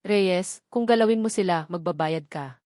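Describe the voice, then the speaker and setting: A woman's voice: fairly low pitch, normal speed, a little mellow, dry recording, studio quality, close to an everyday voice. Earnest, protective male hero read by a woman. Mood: protective, angry. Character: earnest, protective man in love, a company boss.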